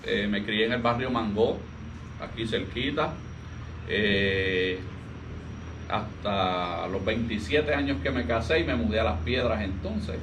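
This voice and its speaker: A man in his forties with a Puerto Rican accent, a pastor talking about his life in a relaxed interview, soft-spoken and halting with frequent restarts. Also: on the low side. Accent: Puerto Rican Spanish. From Puerto Rico. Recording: distant mic with faint ambient noise.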